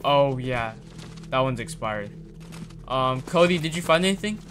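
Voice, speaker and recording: A man improvising informal roleplay chat in a video game, reacting in an animated way. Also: medium pitch, a little slow, faint music behind. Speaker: roleplay video host and player.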